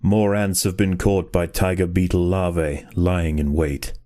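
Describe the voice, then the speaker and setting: Deep, dark-toned male documentary narrator. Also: flat delivery, no echo, studio quality. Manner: measured, dramatic, solemn storytelling. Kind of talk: nature documentary style scripted narration.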